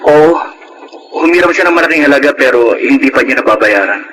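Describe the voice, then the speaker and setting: An actor playing a protective older brother in a radio drama, speaking in an earnest way. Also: male voice, mid-range voice, expressive, brisk, background noise, natural voice. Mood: tense, polite. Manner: earnest, urgent, reassuring. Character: protective, steady older brother calming his family. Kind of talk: Tagalog radio drama acting.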